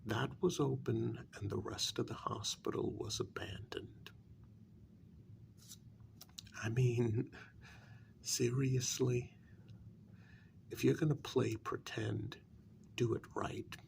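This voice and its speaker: A man playing a bitter, sarcastic kidnapper in a soft-spoken ASMR role-play, halting and half-whispered, with a gravelly voice. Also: medium pitch, fairly even intonation, a little hiss or hum. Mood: scornful. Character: bitter, sarcastic male kidnapper confronting a demon.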